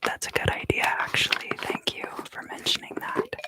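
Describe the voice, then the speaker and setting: A woman from the southern US East Coast giving a soft, unhurried ASMR card reading in whispers, often pausing. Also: no echo. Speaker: tarot reader and ASMR creator.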